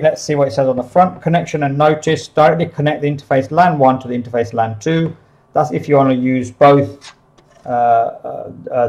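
A man giving an unscripted product overview in a small office, explaining things in a halting, hesitant way. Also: medium pitch, darkish tone, highs cut off. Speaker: product demonstrator for a CCTV supplier.

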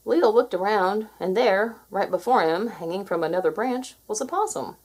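A woman reading a children's story aloud in an expressive style. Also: mid-range voice, moderate pace, slightly rough, rough recording. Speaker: storybook reader.

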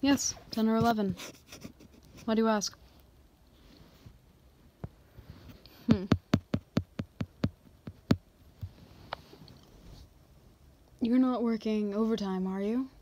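A woman's voice: on the high side, fairly even intonation, normal speed, warm tone, a little hiss or hum, lightly acted. A woman voicing a confident female boss in an ASMR roleplay, teasing her employee in a controlled, coy manner. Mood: composed, coy.

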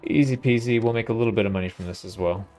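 Low-voiced man commentating on a game. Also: very fast, a little mellow, faint music behind. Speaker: host of a gaming playthrough. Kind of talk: casual gameplay commentary.

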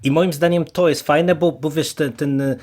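Man talking in halting, choppy phrases. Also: a little high, fairly even intonation, darkish tone. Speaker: podcast host. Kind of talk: casual review discussion.

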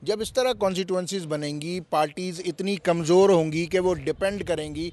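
A man in his fifties with a Pakistani accent, arguing emphatically in a political interview with repeated rhetorical phrases. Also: high voice. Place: outdoors, parliament forecourt. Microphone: handheld interview microphone.

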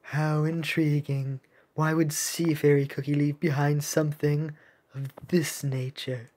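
A solemn cookie sea captain from a mobile game, read by a woman. The voice sits fairly low, with formal, dignified and grave delivery. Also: flat delivery, measured pace, a little mellow. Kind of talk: amateur read-aloud of mobile game dialogue.

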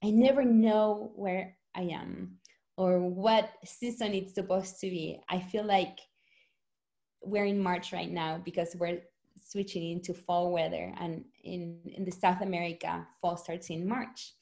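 A woman's voice: fairly low pitch, unhurried, vocal fry. A woman in her forties from Argentina with an Argentine accent, talking earnestly and reflectively about home in a video-call interview. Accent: Argentine, from Rosario. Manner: earnest, reflective, speeds up when excited. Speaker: author. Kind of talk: conversational interview. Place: small home room.

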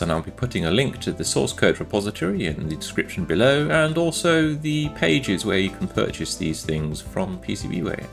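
A man with a low, gravelly voice narrates an informal tech demo. He explains his own hardware in a matter-of-fact way, as a maker would. Faint music plays behind him.

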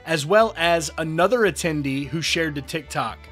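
Upbeat male news host, scripted voice-over, slightly high voice, soft music underneath. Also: normal speed. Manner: upbeat, reads quotes aloud. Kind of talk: scripted entertainment news narration.

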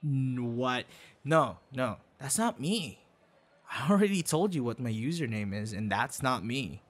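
A man's voice: mid-range voice, animated. A man acting a tender, secretive young man on a date in an ASMR boyfriend roleplay, easily flustered. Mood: flustered, defensive. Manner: slow, intimate, with halting stammers when flustered.

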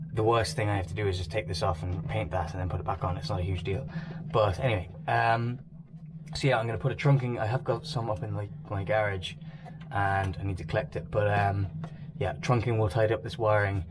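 Low-voiced man explaining as he works, noisy background. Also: fairly even intonation, brisk. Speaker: boat restorer presenting a vlog. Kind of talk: casual explanatory vlog talk while working. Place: small wooden boat cabin, indoors.

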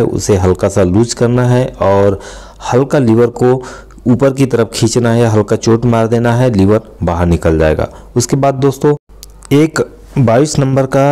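Mellow-voiced man teaching a repair. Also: medium pitch. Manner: friendly instructional, keeps addressing viewers as friends. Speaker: mechanic and channel host. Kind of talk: step-by-step repair tutorial. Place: excavator cab, outdoors work site.

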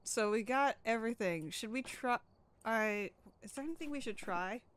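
A woman's voice: a little high, lively intonation, moderate pace, a little hiss or hum. A young woman with a slightly stuffy voice, chatting and joking playfully while playing a co-op game at home. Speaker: gamer. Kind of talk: casual co-op gaming chat.

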